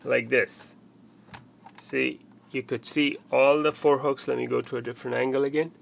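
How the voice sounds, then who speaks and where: A man with a mid-pitched, gravelly voice talks through a hardware installation. He explains each step patiently, circling back and repeating himself with small fillers as he works on the equipment. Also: steady tone, moderate pace, a little hiss or hum. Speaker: tutorial presenter. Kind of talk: hands-on product installation tutorial.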